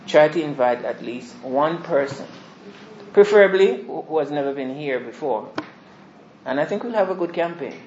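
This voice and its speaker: A soft-spoken man giving a rambling, informal sermon introduction to a small congregation. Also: lively intonation, normal speed, slight background noise. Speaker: visiting preacher. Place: small church hall. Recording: echoey hall, dull low-fi sound.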